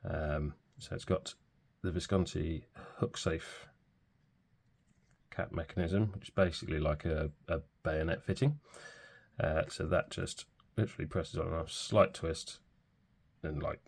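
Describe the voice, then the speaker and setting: Deep, gravelly-voiced man with a cold. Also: measured pace. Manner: relaxed, hesitant, many fillers. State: stuffy from a cold. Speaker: pen reviewer. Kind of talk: casual product review.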